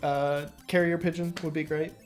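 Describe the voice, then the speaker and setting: Dude co-hosting a podcast, voice a bit high, deadpan jokes, music behind. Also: male voice, moderate pace. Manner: deadpan joking. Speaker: podcast co-host. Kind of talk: casual podcast banter.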